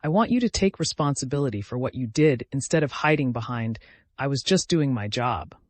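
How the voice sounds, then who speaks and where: A man voicing a vengeful, calculating woman in an audiobook, deliberate and accusatory, with bitterly controlled grief. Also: mid-range voice, expressive, no echo, close to an everyday voice. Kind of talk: dramatic audiobook character reading.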